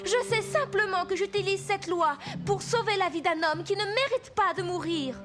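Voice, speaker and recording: Brave young princess, cartoon dub: extremely high voice, earnest, resolute, music clearly behind. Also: female voice, normal speed. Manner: pleading, resolute. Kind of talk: French animated film dubbing. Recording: music playing behind the dialogue.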